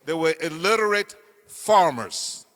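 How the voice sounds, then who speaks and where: An older man from Cameroon giving an earnest campaign speech, telling his life story with slow, deliberate emphasis. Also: fairly high pitch, expressive, sharp tone. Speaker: political candidate speaking at a meeting. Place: meeting hall. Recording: reverberant hall.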